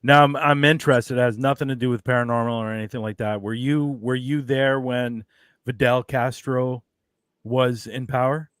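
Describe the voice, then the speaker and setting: A man's voice: mid-range voice, steady tone, normal speed, fairly bright tone, smooth, no echo, studio quality. A man hosting a casual online talk show, rambling and digressive as he chats over a video call. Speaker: show host.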